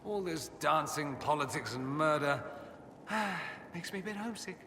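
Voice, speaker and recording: Witty, theatrical young nobleman mage, lively swooping voice. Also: on the high side, measured pace, a little hiss or hum, heavily acted. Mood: playful. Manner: theatrical, teasing, arch and ironic. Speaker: companion character in a fantasy role-playing game. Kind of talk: video game character dialogue. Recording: music under the voice.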